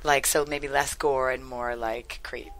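A middle-aged woman speaking casually as an interview guest, in halting, hesitant phrases, on a headset mic. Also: very deep voice, steady tone, fairly bright tone. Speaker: screenwriter guest.

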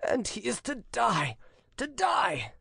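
An anguished old fisherman past eighty, as a male narrator acts him out, pleads with mournful tenderness in a dramatic audiobook. The voice is high and raspy, and it swings widely in pitch with heavy emphasis. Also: big pitch swings, unhurried, no room sound, clean studio sound, exaggerated voice. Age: 80s. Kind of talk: dramatic audiobook character reading.

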